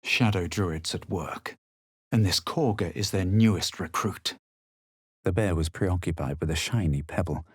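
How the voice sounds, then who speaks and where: A man playing a self-assured, haughty fantasy adventurer in a video game, speaking in a measured, composed, faintly superior way. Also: deep voice, breathy edge, dry recording, studio quality. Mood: grave, matter-of-fact. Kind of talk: video game character lines, scripted.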